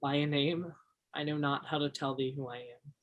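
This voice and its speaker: A man's voice: a little high, fairly even intonation, normal speed, fairly bright tone, lo-fi sound, natural voice. Actor as a shy, earnest young man. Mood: romantic. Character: shy, naive, earnest young male shop worker. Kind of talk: stage play acting.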